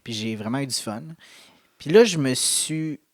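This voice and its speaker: A man's voice: mid-range voice, lively intonation, unhurried, dry recording. Québécois man, dry close sound. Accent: Québécois French. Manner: rambling, many fillers, laughs while telling stories. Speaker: podcast co-host. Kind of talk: casual chat.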